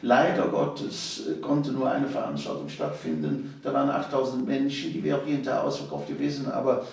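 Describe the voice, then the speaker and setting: An older man reminisces. His voice has a gravelly creak, and he tells his stories conversationally. The recording is very roomy, with the microphone some way off. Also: mid-range voice, brisk, darkish tone. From Cologne, Germany. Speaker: interview guest, local history author. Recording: roomy, echoing, distant microphone.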